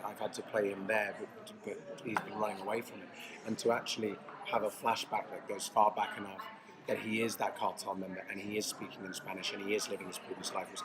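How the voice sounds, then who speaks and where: A man answers interview questions in a fairly low voice, pausing and restarting as he thinks. A loud convention crowd roars behind him the whole time. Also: poor audio. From London, England. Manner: thoughtful, restarts often. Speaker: actor interviewed about his series. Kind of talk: casual press-junket chat. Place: busy convention floor.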